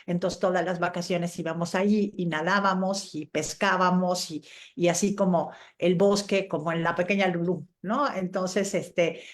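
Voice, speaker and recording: A middle-aged woman from Chile and Mexico answering casually in an interview, telling anecdotes in a conversational way. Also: on the low side. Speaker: interviewed scientist guest.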